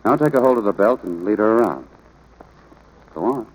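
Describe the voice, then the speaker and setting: Rancher dad, 1940s radio play: lowish voice, plainspoken, matter-of-fact, used to giving instructions. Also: male voice, expressive, normal speed, darkish tone, low-quality recording. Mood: firm, matter-of-fact. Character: firm, plainspoken rancher father, the boss. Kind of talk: 1940s radio drama acting.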